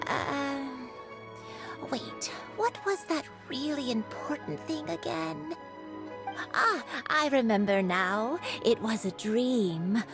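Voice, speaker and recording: A woman voicing a forgetful, whimsical grandmother who looks young, in a video game. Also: on the high side. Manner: dreamy, slow and wandering.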